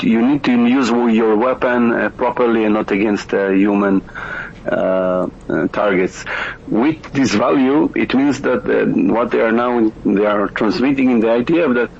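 A man with an Israeli accent giving expert analysis in an interview, speaking hesitantly and searching for words. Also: mid-range voice. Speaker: guest expert, intelligence researcher and lecturer. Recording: muffled lo-fi radio link with clear noise.

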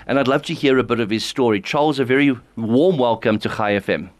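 Male radio host, mid-pitched voice. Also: quick pace. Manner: smooth scripted reading, then direct questions. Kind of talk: radio interview, reading an introduction.

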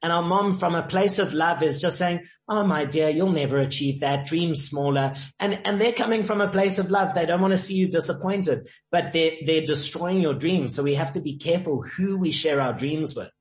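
Male guest, upbeat and sure of himself, pitched a little high, roomy echo. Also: moderate pace, few pauses. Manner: confident, emphatic, uses sayings and stories. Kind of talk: motivational interview talk. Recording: echoey room.

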